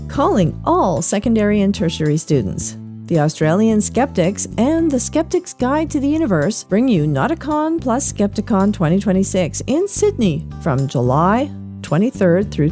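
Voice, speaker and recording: A woman's voice: mid-range voice, sing-song, a little slow, a little mellow, faint music behind. A woman reading a scripted newsletter for a podcast as its presenter.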